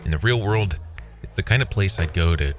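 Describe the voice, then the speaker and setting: A man plays a weary, wry programmer telling his own story in a scripted audio drama. His voice sits extremely low, with clear music playing behind the narration. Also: expressive, a little fast. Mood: wry, reflective.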